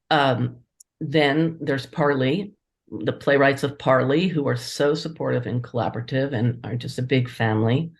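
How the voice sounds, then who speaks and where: A woman speaking conversationally as an interviewed writer, enthusiastic and appreciative in her manner. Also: rumbling low. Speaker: interviewed playwright and editor.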